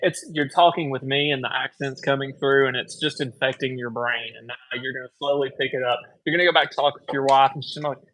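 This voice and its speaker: A man with a thick Tennessee accent, an optician chatting casually about eyewear, laid-back and quick to laugh. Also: medium pitch, fairly even intonation, fairly bright tone, low-quality recording. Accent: Southern US, Tennessee. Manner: laid-back, laughs often, frank opinions. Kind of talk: casual chat.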